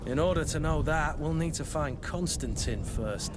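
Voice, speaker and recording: An actor playing a blunt, world-weary but loyal mercenary bodyguard in a video game, with dry delivery. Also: male voice, on the high side, expressive, normal speed, noisy background, close to an everyday voice. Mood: wry. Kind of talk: video game cutscene acting.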